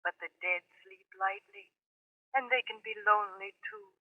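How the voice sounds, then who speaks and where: A woman's voice: medium pitch, sing-song, moderate pace. Tinny-voiced, tender female ghost. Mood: tender, eerie. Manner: sweetly endearing, ominous. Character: dead woman's ghost, tender, eerily possessive. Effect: voice over a telephone. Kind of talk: vintage radio suspense acting. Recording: tinny telephone sound on old radio.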